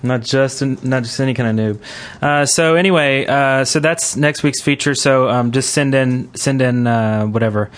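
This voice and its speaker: Male, podcast host, casual gaming chat, halting, rambling, mid-range pitch.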